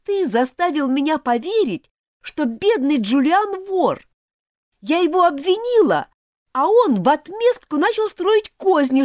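A woman's voice: high-pitched, darkish tone, no room sound, clean studio sound, pitched above the performer's own voice. A woman reading aloud, voicing an indignant schoolgirl who scolds and accuses. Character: furious indignant schoolgirl, sharp-tongued. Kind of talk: audiobook character voice.

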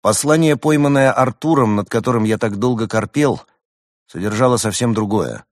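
A man's voice: mid-range voice, moderate pace, dry recording, studio quality, voice pushed up, lightly acted. A man voicing a cocky, eager young male hedgehog student in a storybook, self-assured and theatrical. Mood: triumphant. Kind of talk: acted storybook dialogue.

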